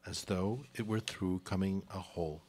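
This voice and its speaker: A man's voice: low-pitched, steady tone, moderate pace, a little mellow, slightly rough. A man narrating a live radio play, reading scripted stage directions.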